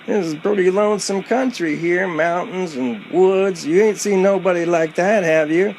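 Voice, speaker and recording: Guy doing a folksy gas station man, high voice, drawly country talk, radio play, some background noise. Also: darkish tone, rough recording. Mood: folksy, matter-of-fact. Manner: folksy, colloquial, drawn-out. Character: folksy, talkative male rural gas station attendant.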